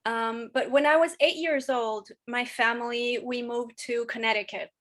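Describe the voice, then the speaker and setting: A woman's voice: on the high side, steady tone, moderate pace, a bit bright. A woman from Chile in her thirties, speaking as a podcast guest. She talks candidly and at length, often restarting with "you know". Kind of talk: casual interview conversation.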